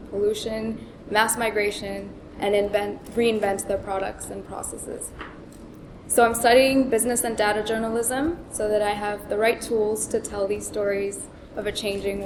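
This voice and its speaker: A young woman from Sri Lanka, a journalism student, giving a measured prepared acceptance speech at a podium in a luncheon hall. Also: medium pitch, background noise. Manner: earnest, measured, reads from prepared text. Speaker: award recipient, journalism student. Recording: echoey banquet room, audible ambience.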